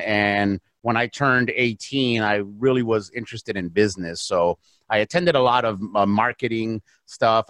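Low-voiced American man telling his story. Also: fairly bright tone, no echo. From south Los Angeles, United States. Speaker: interview guest, real estate investor. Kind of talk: casual personal storytelling in an interview.

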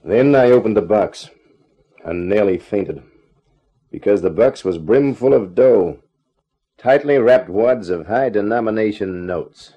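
Low husky hard-boiled detective, wry, laconic, muffled 1950s radio recording. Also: male voice, lively intonation, measured pace. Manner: dry, wisecracking, laconic. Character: wry hard-boiled male private eye. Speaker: narrator and private detective lead. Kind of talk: 1950s radio drama acting.

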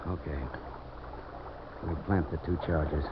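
Low-voiced tough male leader, terse and commanding, 1950s radio adventure, muffled and noisy recording. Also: steady tone, takes it slowly. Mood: tense, businesslike. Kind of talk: 1950s radio drama acting.

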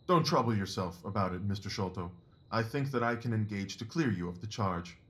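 A man playing a shrewd, commanding Victorian gentleman detective in an audio drama, precise, assured and dryly superior. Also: on the low side, lively intonation, fairly quick, husky. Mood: assertive.

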